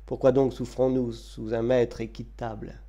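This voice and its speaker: A man giving a dramatic recitation of classical verse, with solemn, declamatory and deliberate phrasing. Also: mid-range voice, level tone, dark tone. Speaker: reader of poetry.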